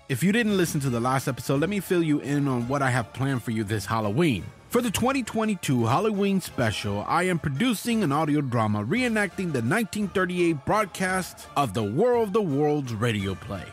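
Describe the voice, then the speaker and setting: Male podcast host, fairly high voice, chatty and informal, music underneath. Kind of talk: enthusiastic podcast introduction. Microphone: recorded at home, voice-over.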